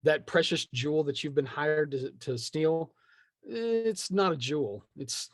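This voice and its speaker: A man's voice: fairly high pitch, unhurried, no echo. Wry man chatting, close dry sound. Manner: animated storyteller, acts out imagined remarks. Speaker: guest, tabletop game writer. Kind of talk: casual conversational interview.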